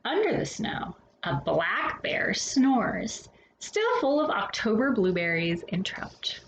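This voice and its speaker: A woman reading a children's picture book aloud as a storytime host, with expressive phrasing and hushed, drawn-out endings. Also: medium pitch. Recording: roomy echo with faint background noise.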